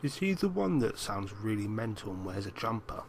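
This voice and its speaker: A man's voice: fairly low pitch, big pitch swings, normal speed, vocal fry. A man co-hosting a podcast, chatting casually with his friend. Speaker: podcast co-host. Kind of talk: casual chat.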